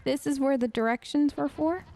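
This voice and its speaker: A woman hosting a casual role-play let's play, talking in a playful, theatrical, halting way as her character. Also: sits high, vocal fry, darkish tone. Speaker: gaming host, role-playing as her character.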